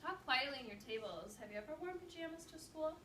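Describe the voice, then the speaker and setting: Woman, high-pitched, teacher reading aloud, instructive, animated, distant mic, roomy noisy recording. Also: quick pace. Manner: animated, instructive, asks questions of listeners. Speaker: teacher demonstrating an interactive read-aloud. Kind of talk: classroom read-aloud and instruction. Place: indoor classroom. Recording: roomy classroom, distant mic, background noise.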